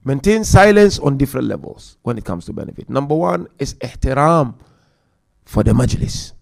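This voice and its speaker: A man giving a Muharram religious lecture, counting off numbered points, chanting Quran verses quickly, and swinging between loud emphasis and quiet asides. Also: mid-range voice, sing-song, vocal fry. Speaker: Muharram majlis speaker.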